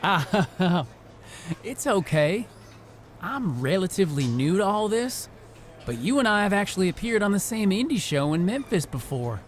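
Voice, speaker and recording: A man's voice: high voice, very animated, unhurried, a little hiss or hum. A man playing an eager, chatty, starstruck young rookie wrestler in a video game cutscene. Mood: friendly, eager.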